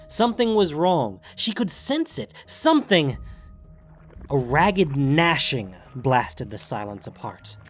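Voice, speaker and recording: A man narrates a fantasy story with theatrical, deliberate emphasis. His medium-pitched voice swings widely, over faint music. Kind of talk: dramatic scripted story narration.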